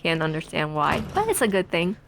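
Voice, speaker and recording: A woman in her twenties from Taiwan, chatting and telling stories while playing a video game, rambling with many pauses. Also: deep voice, very animated, vocal fry, a bit bright, slight background noise. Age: 20s. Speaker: let's play gamer.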